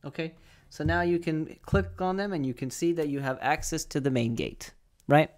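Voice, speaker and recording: A man's voice: a little high, normal speed. A young man presenting a conversational step-by-step tech tutorial, explaining and often checking in with the listener, recorded on a close desk microphone in a small studio. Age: young adult. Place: small home studio with acoustic foam.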